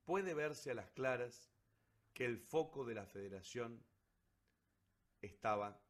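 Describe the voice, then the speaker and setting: A man's voice: mid-range voice, lively intonation, slow pace. Solemn male radio theatre narrator.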